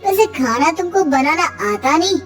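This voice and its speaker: A woman's voice: very high-pitched, lively intonation, normal speed, music in the background. A woman voicing an arrogant, short-tempered young husband in a cartoon story, scolding with contempt. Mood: contemptuous.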